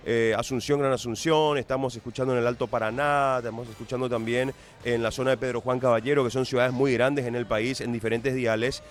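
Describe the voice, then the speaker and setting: A man's voice: medium pitch, steady tone, a little fast, hardly any pauses. A man hosting a radio show, introducing his guest with enthusiasm and asking long, wordy questions. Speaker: radio host. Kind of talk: radio interview.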